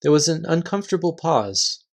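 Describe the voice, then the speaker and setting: A reader narrating a children's book aloud in an expressive storytelling style. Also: medium pitch, moderate pace, creaky.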